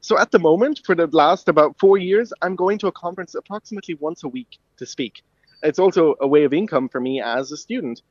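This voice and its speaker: A man's voice: on the high side, fairly quick, darkish tone, dry recording. Young irish guy chatting in a radio interview, eager, laughs a lot, kinda muffled dry sound. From Ireland. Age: young adult. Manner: eager, friendly, laughs easily. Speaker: guest inventor.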